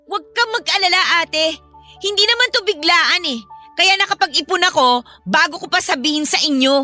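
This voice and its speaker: A woman's voice: very high-pitched, normal speed, bright, forceful tone, faint music behind, full character voice. A Filipino woman playing a sulky, defiant young student, a stubborn younger sister talking back in a radio-style drama.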